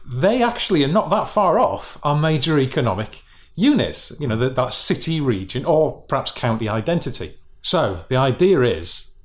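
A man's voice: mid-range voice, big pitch swings, normal speed. A man with a Northeastern English accent, a regional mayor making his case in a panel discussion.

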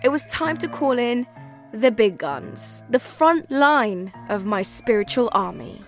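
A woman's voice: on the high side, expressive, measured pace, soft background music. A woman hosting a podcast, giving candid and wry scripted documentary narration.

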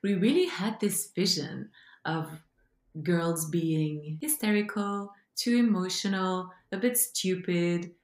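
A woman from Belgium with a French accent, a guest telling her story in an animated, emphatic way. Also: on the low side, slow pace, reverberant. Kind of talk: storytelling interview.

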